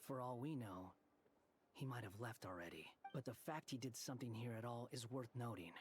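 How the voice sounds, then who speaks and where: An actor voicing an aloof, sardonic young scholar in a video game, speaking in a dry, blunt, dismissive way. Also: male voice, medium pitch, a little fast, a little hiss or hum, natural voice. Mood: dry, brooding. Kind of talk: video game character dialogue.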